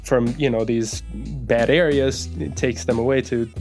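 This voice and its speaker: A man from Russia, an actor and athlete, talking as a podcast guest in a relaxed, rambling way full of likes and restarts. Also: mid-range voice, unhurried, soft background music. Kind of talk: casual interview conversation.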